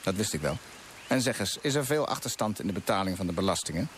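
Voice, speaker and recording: An actor playing an eager, courteous colonial official in a radio drama, speaking formally and inquisitively. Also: male voice, low-pitched, animated, fast. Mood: eager, probing. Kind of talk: Dutch radio drama acting. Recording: noisy old radio play recording.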